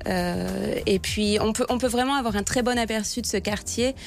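A woman guidebook author answering radio questions in a casual, lively way with restarts. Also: mid-range voice, moderate pace, creaky.

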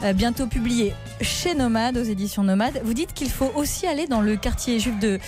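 A woman co-hosting a radio show, asking questions in a clear, composed way. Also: on the high side, moderate pace, darkish tone, faint music behind. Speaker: radio co-host.

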